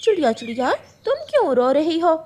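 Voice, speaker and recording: A woman's voice: sits high, sing-song, brisk, a little mellow, a little hiss or hum. A woman voicing a sly, demanding female crow in a children's cartoon. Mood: probing. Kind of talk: Hindi children's cartoon voice acting.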